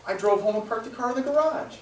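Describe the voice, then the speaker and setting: A man's voice: very high-pitched, fast talker, reverberant, recorded from a distance, a little hiss or hum, poor audio. A man playing a defensive, guilty husband under interrogation in an old-time radio play, agitated and melodramatic. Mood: guarded.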